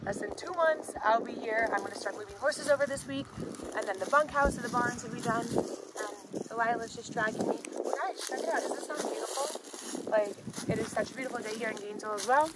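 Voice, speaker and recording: Excited young woman vlogging outdoors. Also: on the high side, brisk, very noisy. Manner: excited, gushing, chatty. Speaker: vlogger showing her horse property. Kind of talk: casual vlog chat to camera. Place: outdoors on a farm, under a barn roof and in a pasture. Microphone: phone camera held at arm's length.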